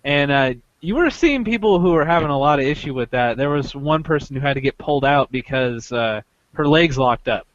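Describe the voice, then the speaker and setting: Higher-voiced man, halting podcast storyteller. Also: lively intonation, no room sound, muffled recording. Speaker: podcast host telling a story. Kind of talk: casual chat, recounting an experience.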